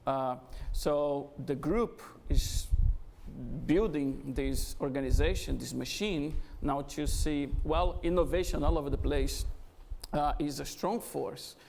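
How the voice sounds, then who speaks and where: A middle-aged Brazilian man with a gravelly, slightly high voice speaks to an event audience. His Brazilian Portuguese accent is strong, and he pauses often to find his words. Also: darkish tone, slight background noise. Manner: hesitant, with frequent "uh" fillers. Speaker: guest speaker, business executive. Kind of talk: conversational fireside interview. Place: event venue before an audience.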